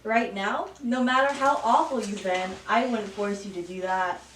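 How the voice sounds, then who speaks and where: A woman's voice: medium pitch, moderate pace, close to an everyday voice. Woman playing a pushy president in a roomy recording. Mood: indignant. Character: male US president, self-serving, pushy, bargaining. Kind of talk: amateur student satire acting. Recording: roomy, distant mic, background noise.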